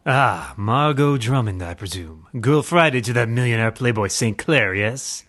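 Radio-serial spoof lead: suave, self-important mystery crimefighter, mid-pitched with a slightly rough voice male actor. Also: lively intonation, unhurried, a bit bright. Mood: grandly mysterious. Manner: theatrical, pompous. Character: suave millionaire playboy secretly a pompous mysterious crimefighter. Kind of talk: parody of 1940s radio drama.

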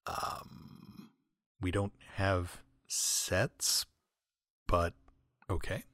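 A man hosting a comedy podcast in a wry monologue, deadpan and mock-grandiose, with florid, wordy phrasing. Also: deep voice, very slow, dry recording, studio quality. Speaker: podcast host, writer and audio editor. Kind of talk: wry comedic monologue, answering listener mail.

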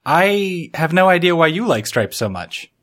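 Lively-pitched man, casual podcast co-host. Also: moderate pace. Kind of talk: casual chat.

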